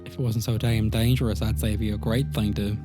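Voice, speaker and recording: A man's voice: lowish voice, little pitch movement, fast. A man playing a tabletop game, chatting casually in a tentative, questioning manner. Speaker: tabletop role-player. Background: background music.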